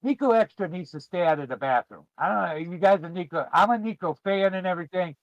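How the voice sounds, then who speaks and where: A man's voice: sits high, fast, no echo, muffled recording. A middle-aged man chatting casually to viewers as a streamer, in a rambling, informal and emphatic way. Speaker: podcast host and streamer. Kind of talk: casual chat, reminiscing to viewers.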